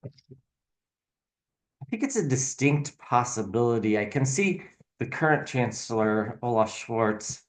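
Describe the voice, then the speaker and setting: A man casually reading tarot cards as a channel host, speaking in a halting, thinking-aloud way and offering speculative commentary. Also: mid-range voice, animated.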